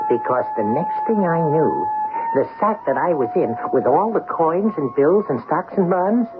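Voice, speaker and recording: Swooping, theatrical talking dime. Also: male voice, fairly high pitch. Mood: wondering. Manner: theatrical, chatty, self-satisfied. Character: talking male dime, whimsical poetic storyteller. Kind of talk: old-time radio drama narration. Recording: dull lo-fi radio broadcast, music behind.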